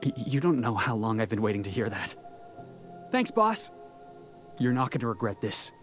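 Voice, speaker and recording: A man voices an eager young American drifter from a video game, cocky and earnest. His delivery is very animated, with bravado that often trips over itself. Music plays behind him. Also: mid-range voice, normal speed, lightly acted. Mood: grateful, excited. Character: eager, cocky young male drifter seeking crew work. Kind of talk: video game character acting.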